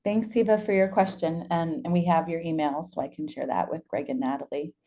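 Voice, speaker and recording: A woman's voice: sits low, fairly even intonation, fairly quick, mellow. A woman with a friendly, encouraging manner, hosting and moderating an online question-and-answer session. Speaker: webinar host and moderator. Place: online video call.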